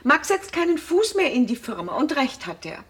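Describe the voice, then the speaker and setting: A woman's voice: high-pitched, very animated, normal speed. An actress in a radio drama playing a concerned, protective woman who mediates a family quarrel matter-of-factly. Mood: firm, mediating.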